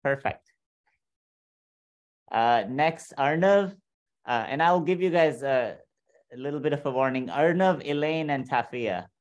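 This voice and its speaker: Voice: mid-range voice, lively intonation, measured pace, long pauses, dull audio. A class host on a video call, calling on students with brief, businesslike cues. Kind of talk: moderating an online class.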